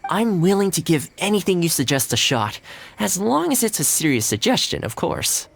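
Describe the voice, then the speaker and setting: An actor playing an easygoing, humble young housekeeper in a video game, polite and good-natured. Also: male voice, high-pitched, sing-song, normal speed, gravelly, exaggerated voice. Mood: friendly, unruffled.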